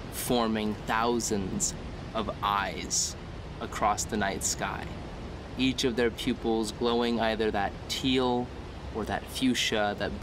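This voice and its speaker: A man narrating a tabletop game as game master, descriptive, measured and dramatic. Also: lowish voice, background noise.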